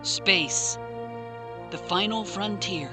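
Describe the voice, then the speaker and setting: A woman announcer reading a scripted opening narration in a slow, solemn way. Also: mid-range voice. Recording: loud music under voice.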